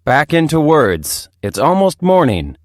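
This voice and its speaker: A woman's voice: deep voice, sing-song, measured pace, dry recording, clean studio sound. A woman voicing a bossy alphabet letter who leads the others, giving instructions in a children's storybook. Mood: commanding. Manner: commanding, instructing. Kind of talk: children's storybook read-aloud.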